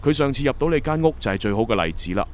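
An actor playing an earnest, analytical young time agent in a Cantonese sci-fi radio drama, with a Hong Kong accent. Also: male voice, medium pitch, animated, very fast, natural voice. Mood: thoughtful, animated.

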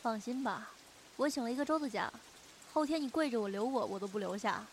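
A woman's voice: fairly high pitch, animated, fairly quick, a little hiss or hum. A woman playing a loyal, protective young friend in an audio drama, earnest and reassuring. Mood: gentle, concerned. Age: young adult. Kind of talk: Chinese audio drama acting.

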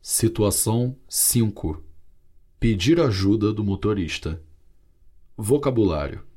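Slow, deliberate male lesson narrator. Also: on the low side, lively intonation, warm tone. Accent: Brazilian Portuguese. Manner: slow, clear, carefully articulated. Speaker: language course narrator and dialogue reader. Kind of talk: slow scripted language-lesson reading.

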